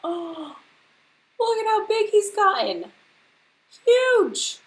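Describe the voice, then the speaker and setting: A woman reading a children's storybook aloud like a teacher to a class, expressively and deliberately, putting questions straight to her young listeners. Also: very high-pitched, big pitch swings.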